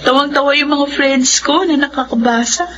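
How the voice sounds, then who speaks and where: A woman's voice: high-pitched, normal speed, crowd noise, natural voice. A woman acting a supportive, chatty young best friend in a romantic radio drama. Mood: friendly, supportive. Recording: muffled lo-fi audio, clear music.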